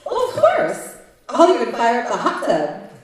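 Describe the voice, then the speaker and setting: A woman's voice: a little high, wildly swooping pitch, unhurried. A woman playing a coy, dramatic theater hopeful in a live old-time radio comedy. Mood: coy, gushing. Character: gushing, flirty theater hopeful, hungry for a part. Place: community center, live stage show. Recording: echoey hall, far from mic.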